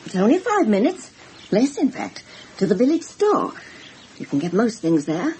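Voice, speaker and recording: An actress playing an older widowed village housekeeper, chatty, plain-spoken and deferential, in a radio drama. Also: female voice, medium pitch, huge pitch swings, normal speed, a little mellow, slight background noise. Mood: eager, polite.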